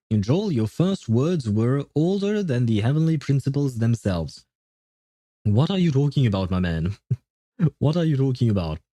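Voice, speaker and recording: A man's voice: fairly low pitch, mellow, dry recording, clean studio sound. A man hosting a casual gaming livestream, rambling and interrupting himself as he chats and grumbles playfully with viewers. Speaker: livestream host, gaming streamer.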